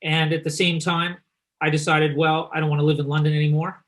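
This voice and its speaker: A chatty, upbeat man with a Canadian accent hosts a live online session, speaking in a voice pitched a little higher than most men's. He sounds like he's talking over a video call. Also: little pitch movement, a little fast, a bit bright. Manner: chatty and upbeat, teasing his guest. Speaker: host and company founder. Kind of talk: live online talk and presentation.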